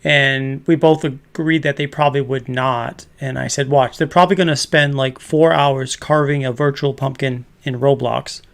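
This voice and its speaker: A man's voice: mid-range voice, fairly even intonation, moderate pace, gravelly, hardly any pauses, a bit bright. A man in his fifties hosting a casual livestream chat, rambling and laughing often. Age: 50s. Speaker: host.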